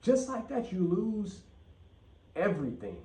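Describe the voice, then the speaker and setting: A man rants casually on his vlog, swearing freely and hammering his points by repeating them. His voice sits somewhat high. The sound is roomy and echoing, caught from a few steps away. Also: wildly swooping pitch, unhurried, warm tone. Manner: blunt, profane, repeats phrases for emphasis. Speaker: channel host. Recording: echoey room, mic at a distance.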